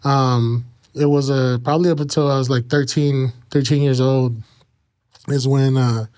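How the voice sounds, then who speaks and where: A man in his thirties with an American accent shares his life story in a casual interview. His voice is clear and resonant and stays fairly level in pitch. He feels his way through sentences haltingly, pausing often on small fillers. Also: fairly even intonation, a little mellow. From Kansas and Mexico. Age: 30s. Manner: halting, frequent "you know" pauses. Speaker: interview guest.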